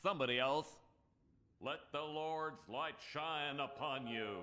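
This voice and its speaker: An actor playing a tired small-town cartoon pastor leading a service. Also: male voice, a little high, a little slow, over music, lightly acted. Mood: preaching. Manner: sing-song preaching, tired patience. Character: weary small-town male preacher. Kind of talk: sermon.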